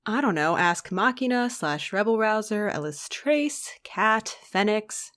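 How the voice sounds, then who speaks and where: Woman as a flippant, lively-pitched comic editor. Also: a little slow, no echo. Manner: flippant, dry humour. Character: blasé, teasing tired comic book editor. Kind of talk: audio drama banter.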